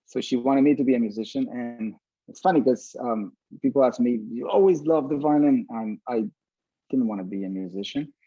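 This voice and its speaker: A man from Venezuela talking casually as a musician being interviewed, pausing often mid-sentence. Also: dark tone, husky. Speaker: orchestra violinist, interview guest. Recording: dull, roomy low-fidelity sound.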